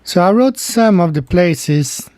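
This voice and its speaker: Slow-talking man with mellow voice. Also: sits high, expressive, dry recording. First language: Portuguese. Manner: slow, meandering, pointing things out on screen. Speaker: channel host. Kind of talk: casual screen-share explainer.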